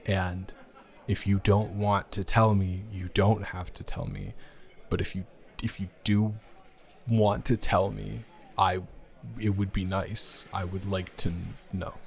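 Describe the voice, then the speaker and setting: A man's voice: low voice, vocal fry. A man playing a confused, hesitant friend with benefits who stammers and hedges through an improvised intimate audio roleplay. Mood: confused, earnest. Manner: halting, stammering, hedging.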